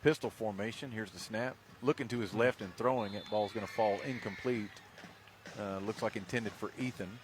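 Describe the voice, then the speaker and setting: A man with an American accent doing live high school football play-by-play, with folksy, joking banter between plays. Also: moderate pace. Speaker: play-by-play announcer. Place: outdoors at a football game. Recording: noisy outdoor live sports broadcast. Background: stadium ambience.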